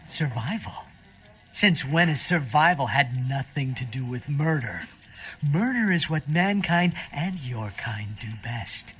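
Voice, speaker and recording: A man playing a cynical, blood-addicted human servant of vampires in a video game, taunting and fawning with theatrical swings between loud and hushed. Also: medium pitch, animated, unhurried, breathy edge, exaggerated voice.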